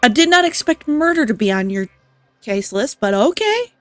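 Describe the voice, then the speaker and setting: A woman's voice: high voice, sing-song, normal speed, faint music behind. A woman gaming streamer giving informal, casual reactions as she plays. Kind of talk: casual gameplay reaction commentary.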